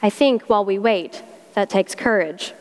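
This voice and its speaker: A woman with a South Carolina accent delivers a sermon in a folksy, conversational way, with homey Southern turns of phrase. Her voice sits at a middle pitch for a woman. A long reverb trails each phrase, as if she is speaking in a church sanctuary. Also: moderate pace, a little mellow. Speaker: preacher.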